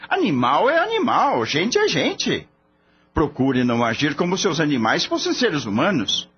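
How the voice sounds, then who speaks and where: Guy playing a mocking know-it-all vet boss in a radio drama, very animated, muffled recording with slight hum. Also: fairly high pitch, normal speed. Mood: dismissive, lecturing. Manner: blunt, mocking, lecturing. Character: skeptical, scornful male veterinarian boss. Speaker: voice actor. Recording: dull lo-fi audio, faint hum.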